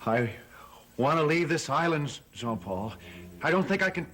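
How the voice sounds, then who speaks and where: An actor playing a troubled, haunted painter in a gothic soap opera, speaking in a halting, strained manner. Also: male voice, on the high side, lively intonation, fairly bright tone. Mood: haunted, agitated. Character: troubled, guilt-haunted portrait painter, anxious to escape. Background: dramatic music.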